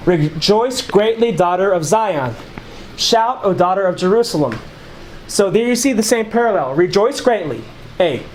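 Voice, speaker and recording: A man's voice: high voice, animated, normal speed, a little hiss or hum. A man leading an informal Bible study, reading scripture passages aloud and explaining them conversationally to a small group. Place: small room with a group of listeners.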